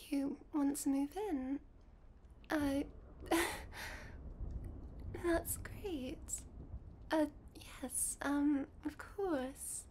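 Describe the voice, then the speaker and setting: A woman playing a sweet, shy young vampire girlfriend in an intimate ASMR roleplay, whispering softly and hesitantly. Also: sits high, slight background noise. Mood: excited, flustered. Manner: soft, breathy whispering, hesitant and giggly. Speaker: roleplay voice performer.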